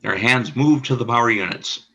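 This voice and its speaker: A man's voice: medium pitch, normal speed, sharp tone, breathy edge, muffled recording. A man reading scripted scene narration for a radio play, describing the action in the present tense.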